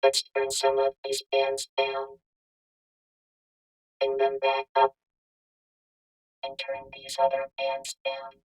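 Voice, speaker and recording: A woman speaking through a vocoder that makes her voice a synthetic, robotic synthesizer tone, in slow demonstration phrases. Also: very deep voice, monotone, slightly rough, faint music behind, heavily acted. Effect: vocoder robot filter.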